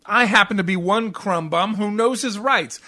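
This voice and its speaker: A man acts out a grumpy, combative husband from a 1940s radio comedy. The voice is high and sharp-edged. He delivers sarcastic wisecracks with open impatience. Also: moderate pace. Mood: indignant.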